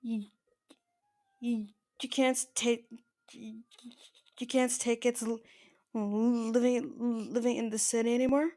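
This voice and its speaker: A woman playing an older mafia boss in an ASMR roleplay, soft-spoken, possessive and quietly menacing, with a halting, intimate delivery. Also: fairly high pitch, little pitch movement, no room sound, natural voice. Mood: shy, confiding. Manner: halting, stammering, intimate address to the listener.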